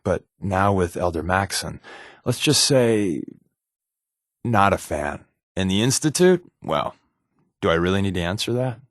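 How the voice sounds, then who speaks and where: A man's voice: deep voice, animated, measured pace, pauses often, no echo, clean studio sound. A man with an American accent playing a wry, evasive spy companion in a video game, talking conversationally with hesitant asides. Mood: dismissive.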